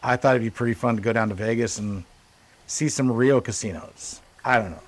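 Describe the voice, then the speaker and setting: A man's voice: medium pitch, a little fast. A man co-hosting a casual podcast, rambling with many restarts and fillers. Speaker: podcast co-host.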